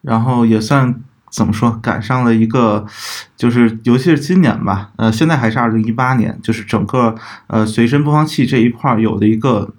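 A man co-hosting a podcast, explaining things methodically in casual talk, pausing and correcting himself as he goes. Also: mid-range voice. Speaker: podcast co-host.

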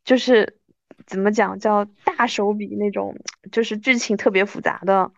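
A woman in her thirties talks casually as a podcast guest, with a self-deprecating, conversational manner. Her pitch is average, and the sound is dry and a little dull, like a lo-fi recording. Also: steady tone, moderate pace, no room sound. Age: 30s.

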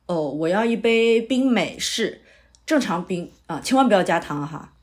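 An eager male playwright in an audio drama , voiced by a woman, pitching ideas in a chatty, persuasive way. The voice sits mid-pitch and swings widely up and down as he talks. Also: expressive, normal speed, slight background noise, natural voice. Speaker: playwright character.

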